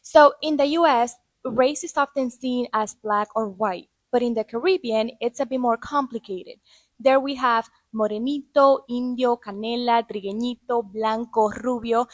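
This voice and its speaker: A woman from the Republic, calmly explaining things in a casual talk to camera. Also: a little high, moderate pace, dry recording. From Dominican Republic.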